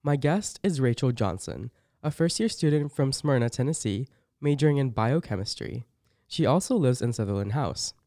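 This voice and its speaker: A young man, a first-year student, hosting a casual interview in a friendly, relaxed way with some ums. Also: medium pitch, normal speed, no room sound, studio quality. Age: young adult.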